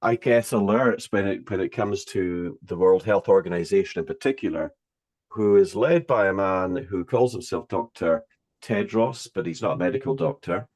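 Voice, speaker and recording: Emphatic low-voiced male interviewer. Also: normal speed, a little mellow. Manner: emphatic, rhetorical. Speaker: interviewer, host.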